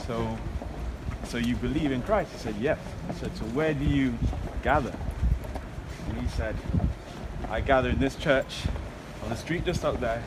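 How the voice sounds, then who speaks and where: Man, street evangelist, casual talk, medium pitch, heavy background noise. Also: normal speed, long pauses. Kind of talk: casual chat and street preaching. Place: outdoors on a city street. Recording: loud noisy outdoor city street.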